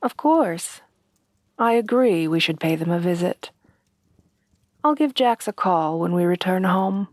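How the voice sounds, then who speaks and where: A woman playing a refined, tender headless horsewoman bride in a soft-spoken ASMR roleplay, with formal, genteel phrasing. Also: fairly low pitch, animated, a little slow, pauses often, dry recording, studio quality. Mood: affectionate, calm. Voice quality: soft, breathy at times.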